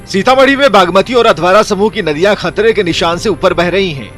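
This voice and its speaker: A man's voice: high-pitched, brisk, fairly bright tone, faint music behind. A man delivering scripted news narration as a voice-over reporter, formal in manner.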